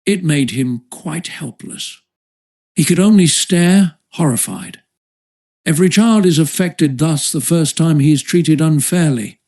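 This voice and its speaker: A man's voice: a little high, measured pace, darkish tone. A man reading a classic children's adventure story aloud as an audiobook narrator, with a literary storytelling delivery.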